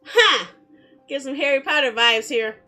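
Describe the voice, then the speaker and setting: A woman streaming a game in a casual, expressive, chatty way, reading story text aloud and reacting to it. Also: high-pitched, unhurried. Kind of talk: casual live let's play, reading visual novel text aloud. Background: background music.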